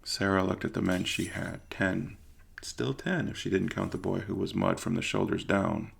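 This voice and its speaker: A man with a deep, husky, gravelly voice narrating an audio drama in a grave, literary storytelling manner. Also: steady tone, moderate pace.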